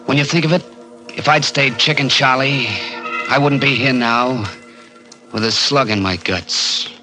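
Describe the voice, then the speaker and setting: A man's voice: medium pitch, fairly even intonation, unhurried, close to an everyday voice, poor audio. An actor playing a bitter small-time gunman in a 1940s radio crime drama, with an American accent and streetwise, hard-boiled slang. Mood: wounded, resigned. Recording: vintage 1940s radio recording, music behind.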